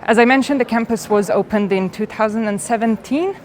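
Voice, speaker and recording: A woman's voice: on the high side, fairly quick, a little hiss or hum. A woman, presenting a campus tour in an upbeat, welcoming manner as a student guide. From Serbia. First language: Serbian. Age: 20s. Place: university campus, outdoors and in large halls.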